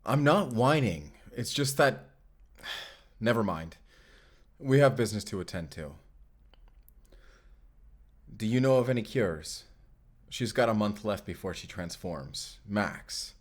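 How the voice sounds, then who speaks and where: A man's voice: fairly low pitch, expressive, slow pace, pauses often. Curt, hot-headed vampire slayer played by a man. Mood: defensive, impatient. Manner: curt, exasperated, sighing. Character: gruff, hot-headed male vampire slayer, irritable and blunt. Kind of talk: cinematic audio roleplay acting.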